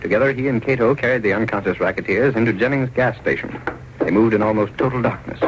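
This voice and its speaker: Fast-paced man as old radio serial narrator. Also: on the low side, a little hiss or hum, close to an everyday voice. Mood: suspenseful. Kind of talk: 1930s-40s scripted radio narration. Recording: dull old-time radio recording. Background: loud music.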